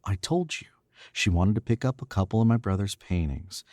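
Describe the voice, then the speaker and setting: A man's voice: lowish voice, lively intonation, moderate pace, darkish tone, dry recording, studio quality, lightly acted. A man playing a smug, cool young murderer. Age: young adult. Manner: smug and controlled, clipped commands. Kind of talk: 1940s radio acting.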